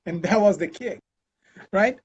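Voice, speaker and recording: A Brazilian man with a Brazilian accent, answering interview questions in a relaxed, chatty way full of fillers and restarts, over a video call. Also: sits high, measured pace, slightly rough. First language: Portuguese. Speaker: interview guest, scientist. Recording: dull lo-fi call audio.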